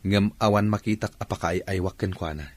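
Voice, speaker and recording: A man's voice: deep voice, fairly even intonation, fairly quick, no echo. An actor playing an earnest, troubled married man in an Ilocano radio drama. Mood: heavy-hearted.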